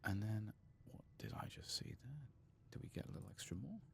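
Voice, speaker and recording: A man hosting a gaming video in a soft-spoken ASMR style, sometimes whispering, murmuring his thoughts unhurriedly as he plays. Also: fairly low pitch, very animated, gravelly. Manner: murmured, unhurried musing to himself.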